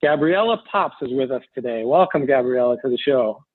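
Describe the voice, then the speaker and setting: A man's voice: mid-range voice, expressive, brisk, no echo, narrow, tinny audio. A man hosting a casual podcast, joking and rambling through a loose, self-deprecating introduction. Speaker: podcast host.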